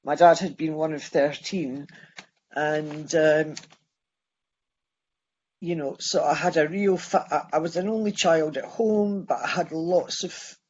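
A Scottish man in his sixties sharing his life story at a recovery meeting, halting and restarting as he goes. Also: on the high side, steady tone, breathy edge, highs cut off. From Scotland. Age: 60s. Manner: halting, with many restarts and pauses. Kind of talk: personal testimony.

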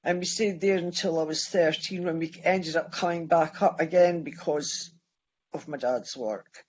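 A Scottish man in his sixties sharing his life story at a recovery meeting, halting and restarting as he goes. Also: on the high side, steady tone, lo-fi sound, slight background noise. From Scotland. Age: 60s. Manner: halting, with many restarts and pauses. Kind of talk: personal testimony.

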